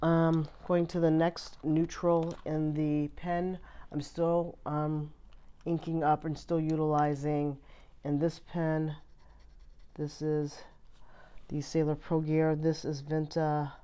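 Woman, low pitch, slightly rough from a cold, casual rambling desk vlog. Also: little pitch movement, takes it slowly, mellow. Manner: chatty, rambling with many ums. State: recovering from a cold, voice a bit off. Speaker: fountain pen channel host. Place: at a desk indoors.

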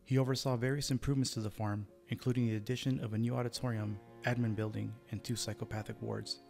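A man giving scripted documentary narration in a steady, even delivery over background music. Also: medium pitch, fast talker, creaky. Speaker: history channel narrator.

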